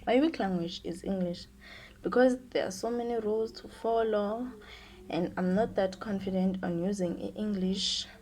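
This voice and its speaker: A woman's voice: mid-range voice, moderate pace. An eighteen-year-old woman, a Xhosa speaker with a Xhosa accent in English, working through prepared questions one at a time as a student teacher. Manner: steady, answers each question in turn. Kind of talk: reading prepared answers to questions.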